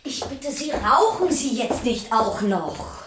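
An actress in a German radio play playing a strict, reproachful, sharp-tongued lady of the house. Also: fairly high pitch, very animated, takes it slowly, noisy background. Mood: disapproving. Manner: prim, reproachful.

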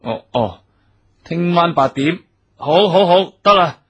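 An actor playing an anxious, easily flustered wealthy husband in a Cantonese radio drama, agitated and given to sighing and stammering. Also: male voice, fairly high pitch, expressive, takes it slowly, a bit bright, lo-fi sound, slight background noise. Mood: tense, guarded.

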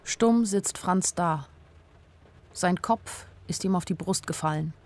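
A woman's voice: lowish voice, takes it slowly, darkish tone. An actress playing an anxious young woman who narrates a radio play as an intimate inner monologue in short, plain sentences. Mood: grave, tender. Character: anxious young woman narrating her flight, inwardly urgent. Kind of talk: literary radio play narration in present tense.

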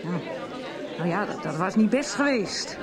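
Animated, gossipy estranged wife played by a woman. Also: mid-range voice, unhurried, natural voice. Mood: confiding. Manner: animated storytelling, droll. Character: vivid, gossipy, estranged wife confiding in a friend. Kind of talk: Dutch radio soap acting. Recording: music and chatter behind the voice.

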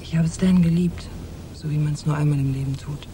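An actress playing a bold, mysterious married woman in a noir radio drama, with a low, smoky, intimate voice. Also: fairly even intonation, fairly quick, lightly acted. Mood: wistful, confiding. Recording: clear background rumble.

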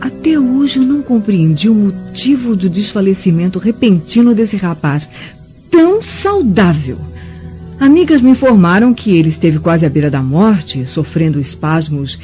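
An actress playing a haughty, resentful middle-aged Roman matron in a formal radio drama. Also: female voice, medium pitch, sing-song, moderate pace, dark tone, natural voice. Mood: disdainful. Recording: muffled recording with music underneath.

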